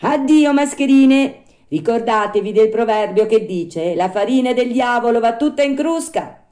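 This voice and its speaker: A woman's voice: medium pitch, animated, a little slow, dark tone, voice pushed up, natural voice. A woman voicing an earnest, cheeky puppet boy in a storybook, with emphatic, sing-song delivery. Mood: mocking, scornful.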